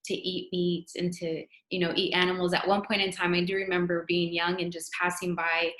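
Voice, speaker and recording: Woman, panel guest, soft-spoken, flat low voice, echoey room. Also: brisk. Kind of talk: online panel talk.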